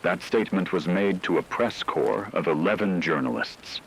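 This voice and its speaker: A man playing a grave radio newsreader in a fictional broadcast, reading scripted reports in a formal, measured, solemn way. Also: sits low, creaky, slight background noise, low-quality recording. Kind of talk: scripted news narration.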